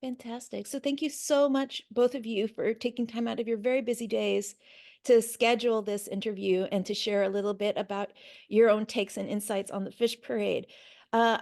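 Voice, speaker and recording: Woman interviewer, fairly high voice, polite and measured. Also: darkish tone. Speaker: archivist interviewer. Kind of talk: oral history interview.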